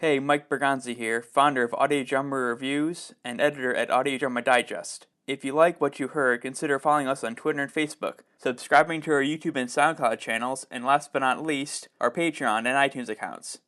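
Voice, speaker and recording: A man's voice: mid-range voice, fairly even intonation, a little fast, few pauses, a bit bright. A man hosting a podcast, reading a scripted review as narration.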